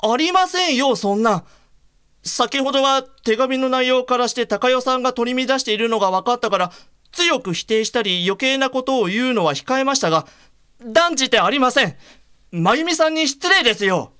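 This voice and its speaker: A man's voice: very high-pitched, animated, moderate pace, pushed and bright, clear, resonant tone, no echo, studio quality, full character voice. A man acting in an audio drama as a courteous, upright blind man who speaks formally and earnestly. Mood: indignant.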